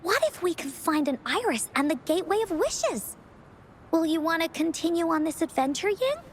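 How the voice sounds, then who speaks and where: A woman voicing a spirited, imaginative little girl who tells adventure stories theatrically in a video game, with deliberate dramatic pauses. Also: very high voice, sing-song, a little hiss or hum. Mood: hopeful, encouraging.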